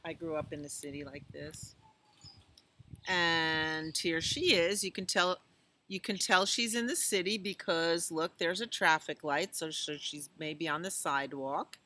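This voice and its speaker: A woman's voice: deep voice, a little slow, bright, forceful tone. A woman reading a picture book aloud to children, with chatty asides, exclamations and questions to her listeners. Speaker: children's storytime reader at a library.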